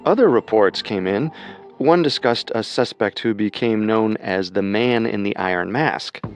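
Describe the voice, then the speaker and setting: A man with a low-pitched voice narrates a scripted true-crime story as co-host. His delivery is measured and story-like, with faint music running underneath. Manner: measured storytelling with rhetorical questions.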